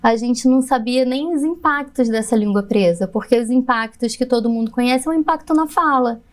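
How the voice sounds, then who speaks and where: A woman's voice: on the high side, brisk. A woman, a pediatric dentist explaining her work in a podcast interview, patiently and with frequent laughter.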